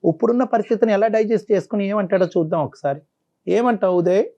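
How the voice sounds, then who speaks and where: A man's voice: sits high, fast talker, warm tone. A man acting as a doctor, counseling a caller on air in an earnest, persuasive way. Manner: earnest, persuasive, pleading repeatedly. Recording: dull lo-fi sound.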